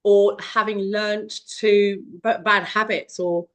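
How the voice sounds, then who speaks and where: A woman hosting a podcast interview, speaking in a halting way as she searches for words. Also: medium pitch, fairly even intonation, a bit bright. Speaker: podcast host.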